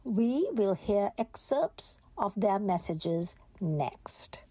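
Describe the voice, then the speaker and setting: A woman announcer reading a scripted introduction slowly and deliberately. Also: medium pitch, animated, warm tone, no echo. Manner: slow and deliberate.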